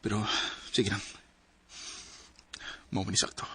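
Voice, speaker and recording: A man's voice: on the low side, monotone, measured pace, fairly bright tone, slightly rough, exaggerated voice. A man with a Filipino accent acting an evasive, wistful married man torn over a secret lover in a radio drama. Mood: brooding. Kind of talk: radio drama acting with first-person narration.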